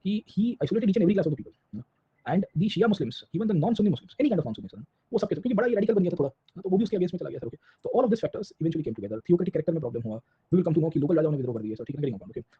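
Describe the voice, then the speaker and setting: Male Indian-accented history teacher, fairly high-pitched, informal exam-prep lecturing with repeated check-ins, slightly muffled lo-fi recording. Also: slightly rough. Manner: repetitive check-in tags, addressing listeners as sir; colloquial, illustrating points with little acted anecdotes.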